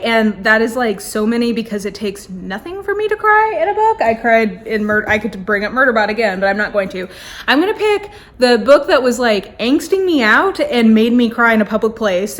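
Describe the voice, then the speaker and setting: A woman's voice: fairly high pitch, a bit bright. A woman chatting casually and effusively as a book vlogger, with an informal delivery full of "like".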